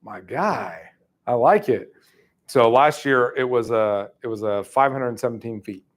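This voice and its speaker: A man's voice: medium pitch, measured pace. Man, 30s, sales trainer, casual chatty delivery to a room. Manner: lively, casual, chatty, many fillers. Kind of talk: live training talk.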